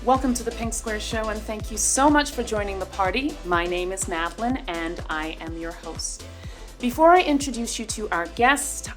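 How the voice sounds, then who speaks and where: A fairly low-pitched woman hosting a show, upbeat and gushing, with plenty of ums as she introduces her guest. Faint music plays behind her. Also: fairly bright tone. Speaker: host. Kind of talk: scripted show intro and interview.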